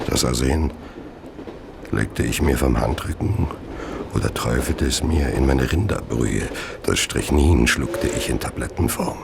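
An actor playing a Victorian merchant who narrates his own murders in a radio play, measured, cold and confessional. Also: background noise, full character voice. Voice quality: deep, gravelly. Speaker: narrator of an audio drama.

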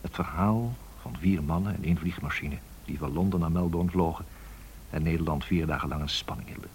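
A deep-voiced man narrating a radio drama. He muses nostalgically and pauses as if thinking aloud. The recording carries faint noise and clicks. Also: darkish tone. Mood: wistful, reflective. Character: musing, nostalgic male narrator looking back on the 1930s.

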